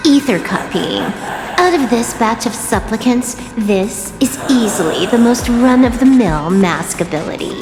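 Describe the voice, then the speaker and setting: A cocky young huntress who taunts her enemy with total self-assurance. She takes her time over each line, and a long hall reverb and clear music play behind her. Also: on the high side, lively intonation, measured pace. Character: cocky young woman hunter, taunting and scheming. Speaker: game heroine. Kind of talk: game cutscene voice acting.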